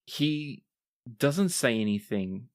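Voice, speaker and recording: A game master narrating a tabletop roleplaying game in descriptive, dramatic phrases with deliberate timing. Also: mid-range voice, animated, darkish tone.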